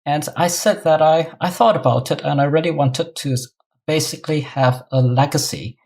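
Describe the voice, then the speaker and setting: A man's voice: fairly high pitch, normal speed, creaky, darkish tone. A middle-aged man with a Singaporean accent, answering interview questions conversationally as an academic guest. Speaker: guest, New Testament professor.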